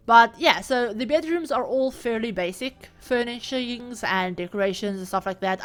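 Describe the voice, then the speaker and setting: A woman hosting her own channel, narrating a casual voiceover over gameplay from a room at home with the door open. She talks in a chatty, rambling way, full of "like" and little laughs. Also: mid-range voice. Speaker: video creator and host.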